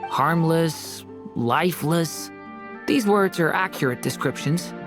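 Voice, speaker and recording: A courteous, solemn character in a game cutscene. The delivery is formal, restrained and exact, with faint music underneath. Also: female voice, deep voice, animated, slow pace, exaggerated voice. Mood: solemn, precise. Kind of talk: English video game dub acting.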